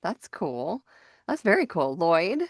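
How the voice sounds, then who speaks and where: A woman's voice: on the low side, sing-song, slow pace, no echo, studio quality. A woman hosting a casual chat, rambling and often interrupting herself. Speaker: host.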